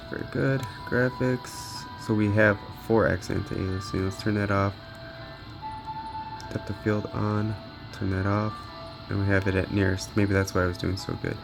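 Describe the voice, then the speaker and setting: A man's voice: on the low side, little pitch movement, moderate pace, vocal fry, over music. A man giving casual, off-the-cuff commentary as he tests gaming gear, muttering to himself as he works. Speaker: gaming handheld reviewer.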